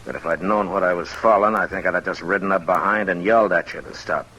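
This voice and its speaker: An American actor playing a weary, firm frontier marshal in an old radio western, terse, measured and authoritative. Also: male voice, low voice, bright, forceful tone. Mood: dry, firm. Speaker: lead actor and narrator. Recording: noisy vintage radio recording.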